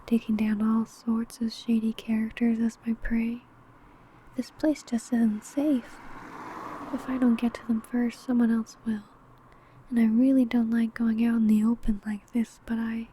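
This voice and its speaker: Woman playing predatory female vampire, ASMR roleplay, breathy murmur, very mellow, falsely sweet, faint background ambience. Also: a little high, a little hiss or hum, lightly acted. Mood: brooding, secretive. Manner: soft, breathy, whispery murmuring. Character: ancient female vampire, obsessive, predatory, feigning sweet clumsy coworker.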